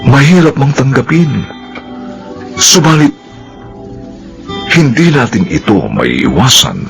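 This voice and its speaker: A man with a Filipino accent giving solemn, measured, scripted narration as the narrator of a radio drama. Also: medium pitch, big pitch swings, vocal fry. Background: loud background music.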